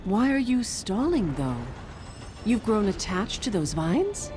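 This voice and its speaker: A woman's voice: mid-range voice, very animated, slow pace, over music. An actress playing a wry, earthy forest witch in a video game, with a casual, teasing manner. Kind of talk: video game character dialogue.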